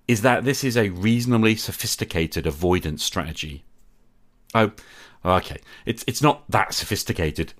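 A middle-aged man hosting a solo podcast, reflective and candid with a wry, self-deprecating humour. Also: sits low. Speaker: podcast host.

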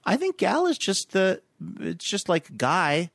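A man's voice: high voice, wildly swooping pitch, a little slow, creaky, a bit bright, dry recording, clean studio sound. A man casually telling a wry childhood anecdote on a podcast. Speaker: podcast guest. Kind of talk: casual chat.

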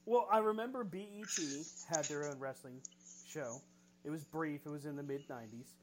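A man's voice: a little high, moderate pace, a little hiss or hum. A middle-aged man co-hosting a casual chat, laughing often and swearing casually. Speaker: podcast co-host. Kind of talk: casual chat about wrestling.

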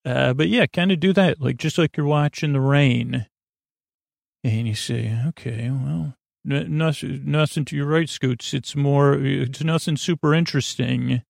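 A man with a creaky voice hosting a podcast, rambling in a halting, gently goofy bedtime monologue meant to help people drift off to sleep. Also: mid-range voice, no echo, studio quality. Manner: meandering, halting, often trailing off and repeating words. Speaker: podcast host.

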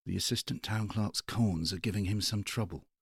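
Guy doing a wry observant gent in an audiobook, raised a bit, slightly husky. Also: on the low side, normal speed, dry recording, studio quality, voice pushed up. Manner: elegant, wry.

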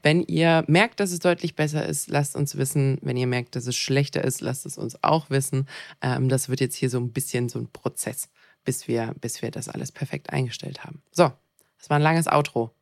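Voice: mid-range voice, fairly even intonation, moderate pace, vocal fry, no echo. A podcast co-host chatting informally and talkatively, with a joking streak. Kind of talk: casual podcast chat.

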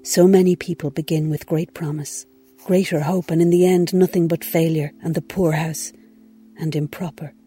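A man reading a historical text aloud in a measured, solemn way. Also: on the high side, steady tone, dark tone, husky, soft background music.

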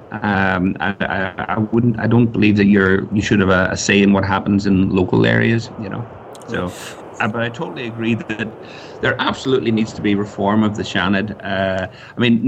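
A man's voice: lowish voice, vocal fry, highs cut off, a little hiss or hum. A man speaking as an election candidate on a radio panel, loose and conversational, with many fillers.

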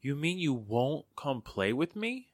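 A man's voice: a little high, big pitch swings, unhurried, dry recording, clean studio sound. A man acting a playful, wisecracking fellow in a 1940s-style radio drama, with jokey, theatrical patter. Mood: playful, wry.

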